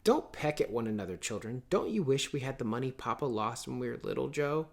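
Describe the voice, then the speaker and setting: A man's voice: mid-range voice, animated, a little fast, creaky. A prim elder sister in her teens read by a man, from a storybook. The character is bossy and motherly, inclined to lecture and complain. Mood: wistful.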